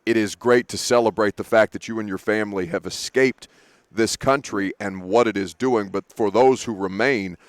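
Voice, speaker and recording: A man's voice: on the low side, normal speed, no echo. A male sports radio host interviewing guests at a live broadcast, talking eagerly with many restarts and self-corrections. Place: studio booth at a sports event.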